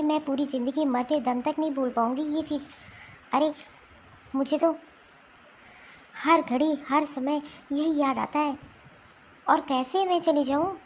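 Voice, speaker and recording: A woman playing a hurt, resolute young married woman in an amateur family cartoon, with an emphatic, drawn-out delivery. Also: high voice, darkish tone, slight background noise. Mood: stubborn, hurt.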